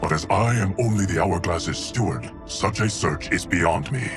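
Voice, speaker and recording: A man's voice: low voice, normal speed, music in the background, close to an everyday voice. A man playing a solemn, loyal steward of time in a video game, with a formal, grave manner. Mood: solemn, measured.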